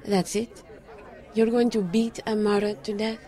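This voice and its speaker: Mellow, skeptical psychic woman played by an actress. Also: mid-range voice, little pitch movement, unhurried, a faint crowd, natural voice. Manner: dry, teasing, guarded. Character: skeptical, psychic enchanted woman, the detective's old flame. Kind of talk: audio drama acting.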